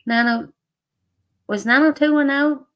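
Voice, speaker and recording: A woman's voice: high voice, unhurried, crowd noise. A woman co-hosting a livestream, chatting casually in a plainspoken, repetitive way. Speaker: livestream co-host. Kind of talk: casual chat.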